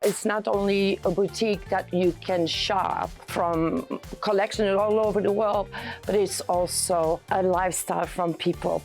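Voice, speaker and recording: Woman from belgium, low gravelly voice, relaxed, music behind. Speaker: boutique owner. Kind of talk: interview.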